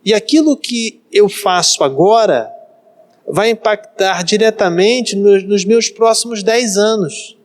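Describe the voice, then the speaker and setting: Brazilian guy in his 30s, pastor doing a sermon, pretty high voice, repeats stuff for emphasis. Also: slow pace. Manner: emphatic repetition of phrases. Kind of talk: sermon, practical life advice.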